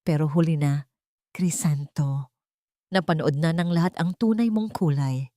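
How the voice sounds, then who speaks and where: A woman voicing a calm, wronged wife and mother in an audio drama, speaking in a hushed, controlled, cutting way. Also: low-pitched, unhurried, dry recording, clean studio sound. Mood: cold, scornful.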